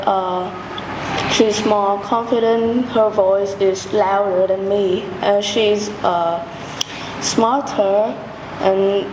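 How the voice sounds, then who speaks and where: Hesitant Vietnamese-accented woman student, very slow, distant from the mic, noisy and roomy. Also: medium pitch. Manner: very hesitant, long pauses. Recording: echoey room from a distance with background noise.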